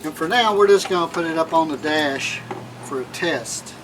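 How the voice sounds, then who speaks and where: A man's voice: on the high side, normal speed, noisy background. A man giving a casual, plain-spoken hands-on how-to as a vlog host, often repeating his phrases. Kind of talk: casual how-to demonstration, talking while working hands-on. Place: in and around a minivan.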